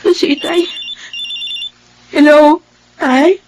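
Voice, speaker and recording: Young Filipino housemaid, very high voice, love-struck and impulsive, radio drama. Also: female voice, steady tone, extremely slow, darkish tone, low-quality recording. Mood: heartbroken. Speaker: voice actress.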